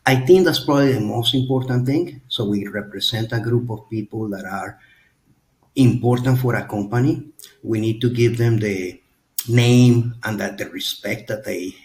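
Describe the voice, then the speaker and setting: A middle-aged man answering as a panelist on a webinar, measured and thoughtful in his phrasing. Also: mid-range voice, a little mellow, rough recording.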